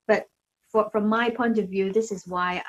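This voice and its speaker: A woman's voice: mid-range voice, fairly even intonation, moderate pace. A woman from Hong Kong, a facialist and brand founder, casually explaining and demonstrating products in a hesitant way, repeating herself as she looks for phrasing. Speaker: beauty brand founder and facialist giving a demo.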